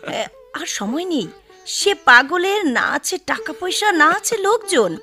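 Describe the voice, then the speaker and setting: A woman acting as an affectionate, fussy middle-aged Bengali mother in a radio drama, with halting, exclaiming delivery. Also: extremely high pitch, sing-song, pushed and bright, full character voice. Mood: fussy, explaining.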